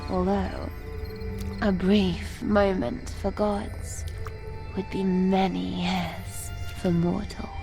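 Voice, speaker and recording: Slow, teasing mysterious spirit woman. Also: lowish voice, flat delivery, vocal fry, full character voice. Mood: solemn storytelling. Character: enigmatic seductive female spirit, sly, teasing and condescending. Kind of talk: dramatic fantasy game acting. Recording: music behind the voice.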